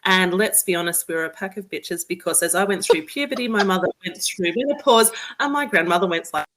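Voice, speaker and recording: A woman's voice: lowish voice, animated, fairly quick, a bit bright. A chatty Australian woman, a guest author, talking casually and laughing often in a remote interview. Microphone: remote call audio.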